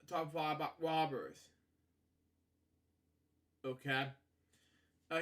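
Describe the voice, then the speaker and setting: A man's voice: a little high, a bit bright. A man reading a children's story aloud as host, stumbling and repeating himself, with rambling asides and habitual catchphrases. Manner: halting, stumbling over words, repeating himself; frequent filler catchphrases like "okay" and "you know".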